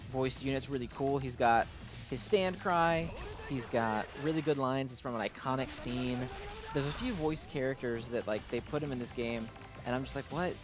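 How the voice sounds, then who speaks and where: A man with a mid-pitched voice talks off the cuff over gameplay, with clear music playing behind him. He rambles like a devoted fan and reviewer, gushing freely and poking fun at himself in a casual way. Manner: self-deprecating asides. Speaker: video game reviewer and player. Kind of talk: casual unscripted gameplay commentary.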